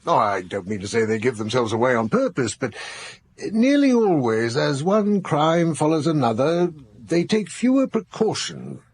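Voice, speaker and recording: An actor playing a learned psychiatry professor in a radio drama, urbane and analytical, musing in articulate, assured phrases. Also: male voice, a little high, big pitch swings, moderate pace, husky. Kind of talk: naturalistic radio drama acting.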